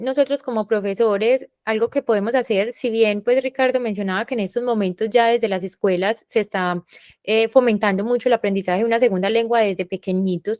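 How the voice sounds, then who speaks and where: A woman's voice: a little high, no room sound, clean studio sound. A woman, a university professor moderating a panel, talking in a reflective, explanatory way with many fillers. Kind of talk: panel discussion.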